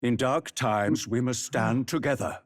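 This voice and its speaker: An actor playing a grave, troubled royal court dignitary in a video game, speaking formally and solemnly. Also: male voice, medium pitch, unhurried, lightly acted. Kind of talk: dramatic English-language game voice acting.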